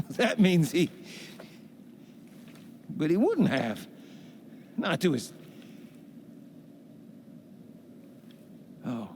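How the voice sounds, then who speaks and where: Slow-talking, hapless lonely adventurer. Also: male voice, on the high side, darkish tone, a little hiss or hum. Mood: rueful. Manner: folksy, colourful exclamations, rueful. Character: hapless, lonely, self-pitying old tinkerer-adventurer man. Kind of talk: video game cutscene acting.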